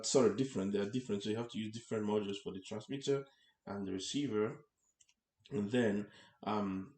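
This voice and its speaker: Male instructor, low register, halting explanatory delivery with rephrasing, roomy echoing recording. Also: a little mellow. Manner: halting, repeats and rephrases phrases, checks with "right?". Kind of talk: explanatory tutorial lecture, unscripted. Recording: echoey, roomy sound.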